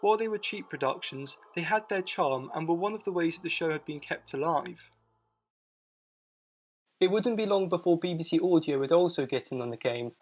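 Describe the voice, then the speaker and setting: A man narrating a scripted documentary video in an informal, matter-of-fact way. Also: on the high side. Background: music bed.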